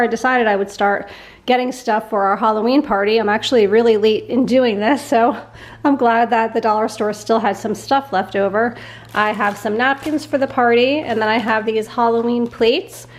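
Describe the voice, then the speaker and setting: Congested nasal woman vlogger, chatty. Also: a little high. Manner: chatty, laughs often. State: congested, sore throat. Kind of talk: casual chat to camera. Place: at home.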